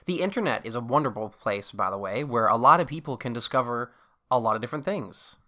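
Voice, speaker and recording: Bright-voiced young man, casual podcaster. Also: mid-range voice, expressive, fast. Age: early twenties. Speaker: podcast co-host. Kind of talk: casual rambling chat.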